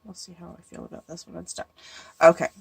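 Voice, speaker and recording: A woman's voice: on the low side, little pitch movement, fast talker, creaky, breathy edge. A woman with a stuffy cold, thinking aloud as she walks through making a handmade card. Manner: thinking aloud, musing and hesitant. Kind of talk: casual crafting tutorial talk.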